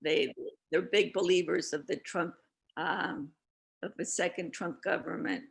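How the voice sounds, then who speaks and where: A woman's voice: fairly low pitch, fairly even intonation, unhurried, creaky. A woman speaking as a guest on an online panel, earnest, often repeating words as she starts a thought. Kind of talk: casual online panel discussion.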